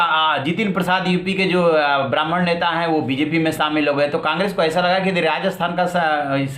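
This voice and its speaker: Male anchor for political explainer, fairly high voice, conversational and questioning delivery, noticeably roomy sound. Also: fairly bright tone. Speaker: news anchor. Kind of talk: news commentary, explaining politics in a semi-scripted way. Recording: roomy, echoing voice.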